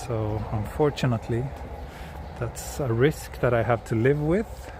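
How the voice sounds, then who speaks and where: A man from Sweden, speaking English as a second language, hosts a relaxed live walking stream outdoors and chats with viewers as he goes. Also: medium pitch, unhurried, noisy background. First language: Swedish. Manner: relaxed, answers viewer chat while walking. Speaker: vlogger walking through a city. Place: outdoors on city streets.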